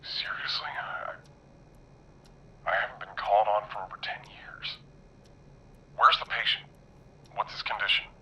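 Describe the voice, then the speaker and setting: An actor playing a blunt, gruff former war medic over the telephone in a horror game. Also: male voice, lowish voice, steady tone, unhurried, long pauses, bright, forceful tone, in a whisper, poor audio. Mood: taken aback. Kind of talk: dramatic horror game voice acting.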